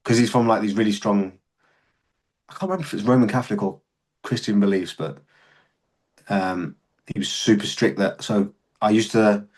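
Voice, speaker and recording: A man's voice: on the low side, steady tone, moderate pace, pauses often. Young man telling blunt stories. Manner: candid, sweary storytelling. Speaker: podcast guest, serving soldier. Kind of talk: casual podcast conversation.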